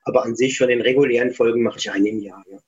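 A man from Germany, an author, answering interview questions over the phone in a relaxed, chatty way with many fillers. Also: medium pitch, quick pace. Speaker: book author. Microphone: phone line. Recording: muffled phone-call sound.

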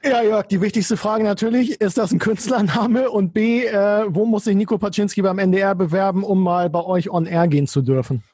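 Male journalist host, fairly high voice, dry sound, hesitant questioner. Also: brisk, few pauses, darkish tone, husky, dry recording. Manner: hesitant, many fillers. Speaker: podcast host, newspaper journalist. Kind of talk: interview questions.

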